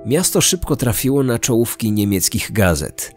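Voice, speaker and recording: A man's voice: mid-range voice, very animated, normal speed, soft background music. A man delivering scripted documentary narration as the host of a true-crime podcast.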